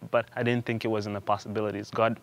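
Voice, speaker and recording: A young man from Uganda, a student guest in an interview, telling his story in a halting way with frequent restarts and repeated words. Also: lowish voice, little pitch movement. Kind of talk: conversational interview.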